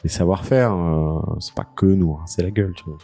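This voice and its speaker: A man's voice: sits low, brisk, dark tone, faint music behind. A man co-hosting a podcast, bantering casually and asking the guest questions. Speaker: podcast co-host.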